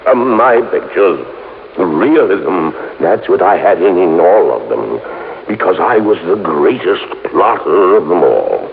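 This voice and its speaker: Weird old castle hermit, boastful n excitable, voice swoops around, muffled old radio sound. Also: male voice, low voice, gravelly, a little hiss or hum, exaggerated voice. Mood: boastful, relishing. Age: older. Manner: halting, repetitive, mumbled hums, boastful and excitable. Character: eccentric reclusive retired horror-film maker, squat castle owner. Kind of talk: 1950s radio drama acting.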